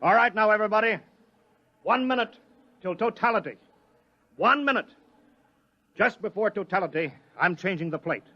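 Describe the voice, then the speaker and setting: An actor playing a commanding observatory scientist in a radio drama, giving clipped, authoritative orders. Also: male voice, high voice, expressive, normal speed, long pauses. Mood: urgent command. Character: commanding male observatory scientist, urgent leader. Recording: dull lo-fi radio drama recording.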